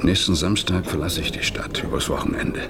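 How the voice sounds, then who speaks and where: A gravelly scheming husband. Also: male voice, sits low, brisk, over a noisy crowd. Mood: calm menace. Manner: calm, businesslike, quietly menacing. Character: scheming middle-aged husband plotting his wife's murder. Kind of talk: radio drama.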